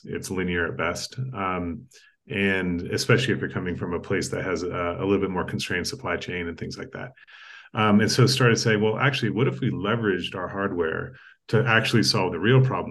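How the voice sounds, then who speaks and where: A man from East Africa, a guest telling his story in a relaxed interview, measured with frequent ums and restarts over a video call. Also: low-pitched. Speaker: guest, tech entrepreneur.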